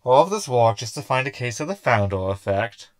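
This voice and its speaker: A man's voice: medium pitch, sing-song, brisk, dry recording, natural voice. A man playing a sarcastic, querulous hologram sidekick who is skeptical and easily unnerved, in a science fiction comedy audio drama.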